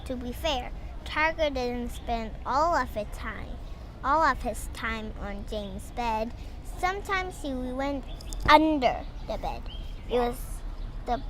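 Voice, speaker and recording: High-voiced young girl reading haltingly. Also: fairly bright tone, slight background noise. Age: child. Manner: halting, stumbling over words. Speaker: young reader. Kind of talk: reading a storybook aloud.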